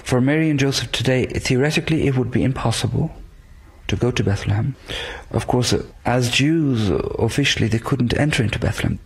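Gravelly, husky Irish priest. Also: male voice, mid-range voice, brisk. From Ireland, west coast. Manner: hesitant, many fillers. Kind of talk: interview answers.

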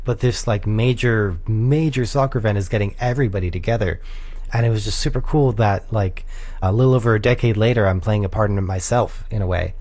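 A man's voice: on the low side, brisk. A young man in his twenties, a music producer being interviewed on radio, talking casually with lots of "like" and "sort of". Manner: casual, relaxed, many "like" and "sort of" fillers.

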